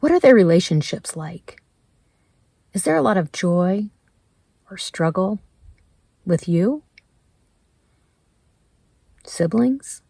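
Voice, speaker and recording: A woman leading a guided meditation in a gentle, measured way, leaving pauses between her prompts. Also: lowish voice, vocal fry, breathy edge, no room sound. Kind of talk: slow guided meditation narration.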